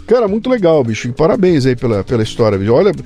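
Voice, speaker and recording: A man's voice: fairly high pitch, sing-song, rapid-fire, dark tone, faint music behind. Man hosting a casual interview. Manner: informal, slangy, emphatic. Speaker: podcast host.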